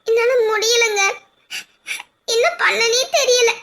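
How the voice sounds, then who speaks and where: A woman's voice: extremely high pitch, a little fast, sharp tone, a little hiss or hum. A woman playing a young wife who weeps and calls herself unlucky, in an amateur family drama. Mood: tearful. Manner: sobbing, self-blaming lament. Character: tearful young wife, sure she is unlucky. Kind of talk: amateur domestic drama acting.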